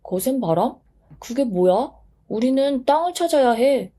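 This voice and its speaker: A woman's voice: sits high, lively intonation, measured pace, dark tone, pitched above the performer's own voice. A woman voicing the wind as a playful, boastful newborn figure speaking eagerly and breezily.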